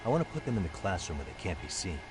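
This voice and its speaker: A man playing a level-headed private detective in a video game, speaking in a measured, assured way. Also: deep voice, very animated, over music. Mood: earnest, determined. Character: level-headed male private detective plotting against bullies. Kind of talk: video game dub acting.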